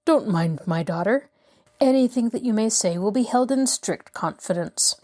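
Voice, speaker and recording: A woman voicing a courteous middle-aged male lawyer who speaks in a measured, reassuring way. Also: medium pitch, animated, a little mellow, lightly acted. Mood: calm.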